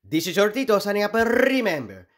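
A man from the Philippines reading a children's picture book aloud expressively, pausing deliberately between short phrases. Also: sits high, sing-song. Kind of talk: expressive storybook read-aloud.

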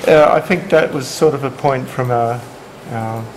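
A man's voice: mid-range voice, normal speed. A man moderating a seminar discussion, relaying online comments with frequent fillers. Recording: echoey room, background noise.

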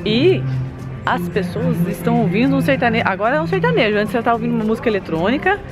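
A young woman from Brazil vlogging casually to a handheld camera, talking in a lively, teasing way. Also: a little high, fast. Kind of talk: casual vlog chat to camera. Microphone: handheld camera at arm's length. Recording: music playing in the background. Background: loud party music nearby.

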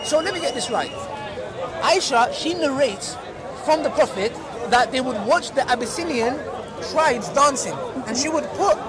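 High-voiced preacher debating outdoors. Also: male voice, animated, moderate pace. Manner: persuasive, ends points with "yeah?" tag questions. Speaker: street preacher. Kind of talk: open-air debate with onlookers. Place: outdoor public square with a crowd. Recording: loud crowd noise outdoors. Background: loud crowd chatter.

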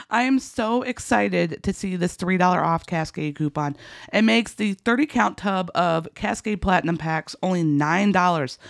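A woman with a rough, gravelly voice hosting a couponing video, chatting excitedly and casually in a folksy way through deals in voice-over. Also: on the low side, no room sound, clean studio sound. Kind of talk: enthusiastic casual deal rundown voice-over.

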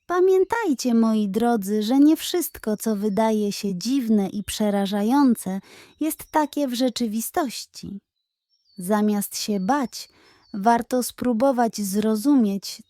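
Kindly piglet detective played by a woman for a children's storybook: high, animated, reassuring and earnest. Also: no echo. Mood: gentle, instructive. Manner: animated, explaining, morally instructive. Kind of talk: children's bedtime story character.

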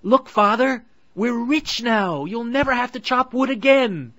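Storybook boy, cheerful, loud, pitched up; man voicing him. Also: a little slow, no room sound, lo-fi sound. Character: young boy, hungry and cheerful.

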